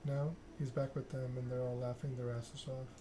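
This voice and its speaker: Man reading softly in ASMR style, little inflection. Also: mid-range voice, flat delivery, moderate pace, background noise. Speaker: video game streamer reading a story game aloud. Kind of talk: ASMR narration, reading game text aloud.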